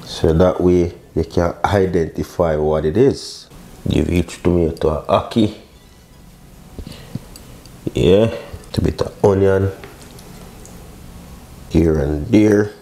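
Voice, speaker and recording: Deep-voiced man hosting a cooking video. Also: fairly even intonation, takes it slowly, pauses often, warm tone. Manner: casual, chatty, addresses viewer with short exclamations. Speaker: cooking show host, chef. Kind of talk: casual step-by-step recipe demonstration. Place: indoor modern home kitchen.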